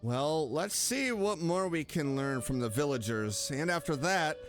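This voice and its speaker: A woman's voice: low voice, lively intonation, measured pace. A woman doing playful, theatrical gameplay commentary as a streamer, reacting and reading dialogue aloud. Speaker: VTuber game streamer. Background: game music.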